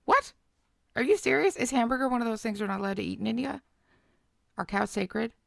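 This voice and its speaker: A woman's voice: on the high side, expressive, a little fast, gravelly, no echo, clean studio sound. A woman hosting a casual livestream, chatting reactively to her viewers as she comments along. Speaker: livestream host reacting to a clip. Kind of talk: casual live chat commentary.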